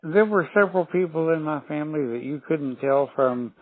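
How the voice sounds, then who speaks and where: A man's voice: a little high, a little fast, no echo. An older man chatting on a phone call, telling folksy, rambling stories and answering questions. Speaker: caller on a sales call. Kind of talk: casual phone conversation. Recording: narrow phone line.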